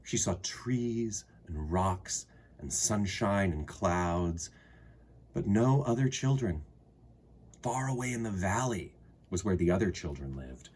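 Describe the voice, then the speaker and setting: A man reading a children's story aloud expressively, with deliberate phrasing and dramatic pauses. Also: sits low, animated, a little mellow, slightly rough.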